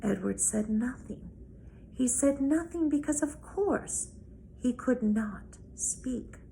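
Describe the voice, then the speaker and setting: A woman reads a children's novel aloud as a storyteller, with deliberate, dramatic phrasing. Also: sits high, lively intonation, gravelly, dark tone, low-quality recording. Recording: noticeable background noise.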